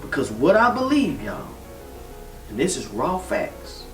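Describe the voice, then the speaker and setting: A man's voice: on the high side, huge pitch swings, unhurried. A man in his thirties gives a motivational talk to a room of listeners, retelling old stories in everyday slang. He works them with call-and-response questions. He is heard from a distance in a roomy space, with music playing under him. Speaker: motivational speaker.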